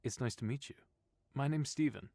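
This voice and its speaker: A man's voice: medium pitch, moderate pace, vocal fry, warm tone, dry recording, clean studio sound, pitched above the performer's own voice. A male narrator voicing a polite, friendly young man who sounds a bit sheepish, in an audiobook. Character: easygoing, polite young man with a new dog.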